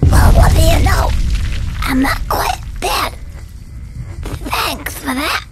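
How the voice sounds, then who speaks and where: A man voicing a small, eager, naive squirrel soldier in a video game, nervous and excitable in manner. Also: extremely high pitch, slow pace, creaky, full character voice. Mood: dazed. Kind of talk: video game cutscene acting. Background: loud music.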